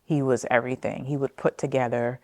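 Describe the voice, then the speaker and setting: An American woman from New Jersey telling personal family history for a documentary, speaking in a reflective, halting way with frequent pauses. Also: a bass voice, steady tone, a little mellow, dry recording. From New Jersey, United States. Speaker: interviewee sharing family history.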